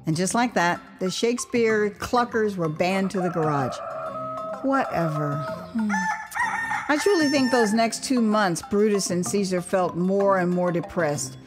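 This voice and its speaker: An American woman tells a first-person story in a dramatized, expressive style. Her voice sits at a medium pitch, with wry conversational asides, and music plays clearly behind her. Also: measured pace. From United States. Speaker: author and storyteller.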